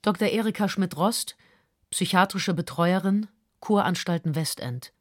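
A woman's voice: lowish voice, unhurried, dry recording, studio quality. An actress playing a stern female psychiatrist who reads a firm, admonishing letter to her patient.

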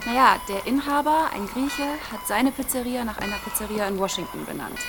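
An actress playing a hesitant young woman in a radio play, halting and conversational. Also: fairly high pitch, animated, over music. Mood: hesitant, explaining. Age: young adult. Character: hesitant young woman patient, quietly worried. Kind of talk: German radio play acting.

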